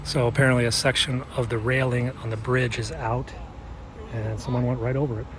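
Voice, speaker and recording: A man's voice: mid-range voice, little pitch movement, a little fast. A man giving casual on-the-go narration as a vlogger, outdoors in the city on a handheld camera. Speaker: vlogger filming street incidents. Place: outdoors on city streets and a bike path. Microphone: handheld pocket camera. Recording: noisy outdoor city street with traffic. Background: traffic and city noise.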